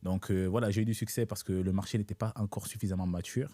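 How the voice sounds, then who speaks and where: A young man, born in Italy and raised in Africa, who learned French later, talking as an interview guest in a measured way, checking in with his listener. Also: on the low side, fairly even intonation, dark tone, no echo. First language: not French. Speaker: guest entrepreneur. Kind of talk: casual interview talk.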